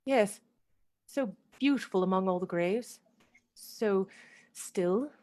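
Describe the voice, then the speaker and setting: A woman's voice: mid-range voice, slow, warm tone, natural voice. A woman acting a dreamy young Victorian lady in a radio play, airy and wistful as if under a spell. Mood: dreamy, distracted.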